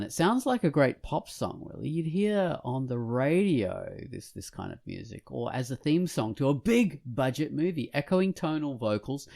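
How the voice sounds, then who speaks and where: A man with a relaxed, creaky voice hosts a casual podcast. He rambles in a jokey way, and his pitch moves around a lot as he talks and reviews. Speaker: podcast co-host. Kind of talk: casual podcast chat and featured comic review.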